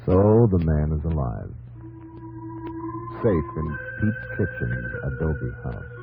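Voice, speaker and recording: A man narrates an old radio drama in a very deep voice. He is portentous and solemn, speaking in clipped phrases. The recording is narrow and lo-fi, with music behind him. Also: very animated, slow pace, gravelly. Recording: dull lo-fi 1950s radio recording.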